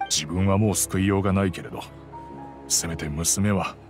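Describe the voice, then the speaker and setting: Man playing a low-voiced, fatherly gentleman. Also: natural voice. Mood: earnest, fatherly. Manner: measured, gentle, reflective. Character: middle-aged wealthy master of a house, fatherly, composed, remorseful. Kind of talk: anime game voice acting. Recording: soft music behind the voice.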